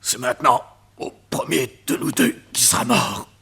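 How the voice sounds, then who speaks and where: An actor voicing a spiteful, wounded gangster who is dying and short of breath in a radio drama. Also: male voice, medium pitch, very animated, normal speed, pushed and bright, full character voice. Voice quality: raspy.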